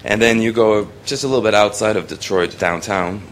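Young man from Hong Kong, deep low voice, loose rambling delivery over faint background noise. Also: fairly even intonation, brisk. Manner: rambling, many ums and restarts. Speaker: student photographer presenting his work. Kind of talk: casual spoken presentation.

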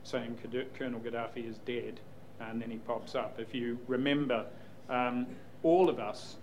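Brief: male news executive, flat even delivery, careful and hesitant, faint room noise. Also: medium pitch, rough recording. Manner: measured, hesitant with many ums. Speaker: news executive answering questions. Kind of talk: Q&A panel talk.

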